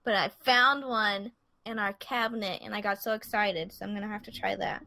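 A woman's voice: mid-range voice, steady tone, moderate pace, bright, forceful tone, dry recording, poor audio. A woman co-hosting a podcast in casual chat, bubbly and chatty, laughing easily while plugging friends' work. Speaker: podcast co-host.

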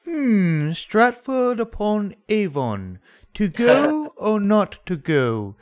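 Lively-voiced playful host man. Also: sits high, extremely slow. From San Francisco Bay Area, California. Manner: playful, jokey. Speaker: radio show host. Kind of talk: casual radio interview.

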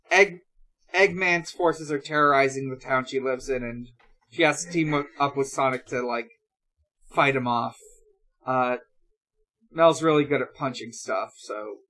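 Casting: female podcast host, informal and hesitant, reading out questions and chatting in short halting bursts. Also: low-pitched, fairly bright tone, rough recording. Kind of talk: casual chat.

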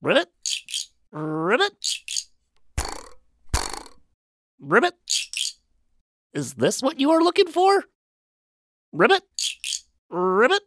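An actor voicing a smug, educated talking frog in an audio storybook drama, punctuating speech with croaked ribbits. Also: high-pitched, wildly swooping pitch, slow, pauses often, fairly bright tone, no room sound, heavily acted. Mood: insistent, inquiring. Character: talking frog, well-schooled, matter-of-fact, slightly smug male.

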